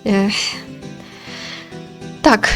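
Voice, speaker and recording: A woman reading a visual novel aloud as host, with expressive storytelling and casual commentary. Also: very slow. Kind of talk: narrated read-through with casual commentary. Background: game music.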